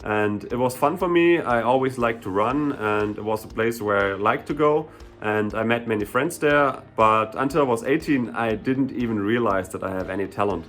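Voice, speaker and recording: A young man from Germany with a German accent, a sprinter and hurdler answering viewer questions in a relaxed, chatty way, full of "you know" and "like". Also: medium pitch, normal speed. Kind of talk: casual Q&A vlog.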